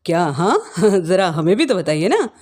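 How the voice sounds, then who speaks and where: A cocky young boyfriend, acted by a woman in a family story. He is brash, protective and taunting, with animated, varied intonation. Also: on the low side, moderate pace.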